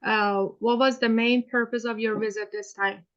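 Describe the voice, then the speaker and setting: Woman, higher pitch, hesitant questioning, muffled lo-fi sound. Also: fairly even intonation, moderate pace. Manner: hesitant, many fillers and restarts. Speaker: student playing counsel in a mock hearing. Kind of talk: role-play questioning in a mock hearing.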